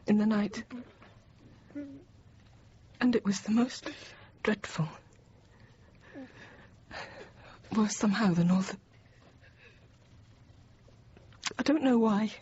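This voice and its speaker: A woman playing a weary schoolteacher in her thirties held hostage, narrating a radio drama in measured, intimate short phrases. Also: mid-range voice, a little mellow, breathy edge, lo-fi sound. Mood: shaken, reflective. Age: 30s. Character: thirty-year-old female teacher held hostage, weary, reflective.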